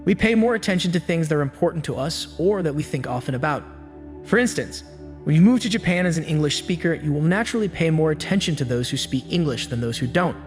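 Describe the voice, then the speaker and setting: Man, slightly high voice, scripted self-help narration, steady even delivery, long hall reverb. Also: fast talker. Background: faint background music.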